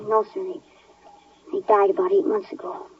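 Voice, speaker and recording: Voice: medium pitch, level tone, normal speed, breathy edge, dry recording. A performer playing an unhappy, defensive young boy, hesitant and plain-spoken, in a 1950s radio western. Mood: sullen, hurt. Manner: plain-spoken, hesitant, polite country speech. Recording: muffled, distant 1950s radio recording.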